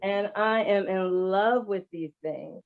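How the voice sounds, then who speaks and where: Happy go lucky lady chatting about stuff she sells, mid pitch, kinda muffled lo-fi audio. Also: slow, gravelly. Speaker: guest vendor of essential oils. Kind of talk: casual chat, product pitch.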